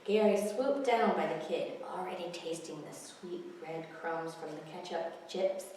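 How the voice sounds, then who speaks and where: A woman's voice: fairly low pitch, measured pace, darkish tone. A woman hosting a children's story time, reading picture books aloud with expression and chatting simply about them. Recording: very echoey, distant mic, faint noise.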